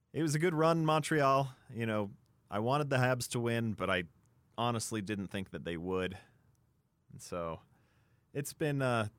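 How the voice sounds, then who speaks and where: A man's voice: lowish voice, a bit bright, smooth, no room sound. A man co-hosting a sports radio show, chatting casually and thinking aloud as he goes.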